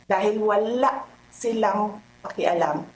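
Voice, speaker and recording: A woman's voice: medium pitch, a little slow, a little mellow. A Filipino woman speaks out with impassioned political remarks in an echoey room with an electrical hum. Her delivery is emphatic and leans on rhetorical questions.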